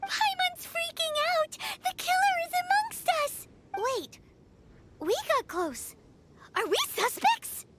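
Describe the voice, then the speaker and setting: A woman voicing a tiny, excitable childlike fairy companion in a video game, given to dramatic exclamations. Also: very high voice, slow pace, heavy background noise, full character voice. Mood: panicked. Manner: dramatic exclamations, speaks of herself in third person. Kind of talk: video game cutscene acting. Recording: loud game music behind the voice.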